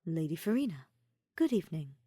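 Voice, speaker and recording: A commanding, unruffled knave of a woman, as a female narrator plays her, with a sultry voice. She speaks formally and in measured phrases, in a storybook romance. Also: big pitch swings, warm tone, breathy edge, no room sound, studio quality, full character voice. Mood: composed. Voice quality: deep, sultry.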